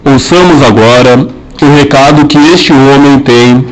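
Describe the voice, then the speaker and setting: A man narrating an amateur historical radio drama, telling it in a measured and solemn way. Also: medium pitch, low-quality recording.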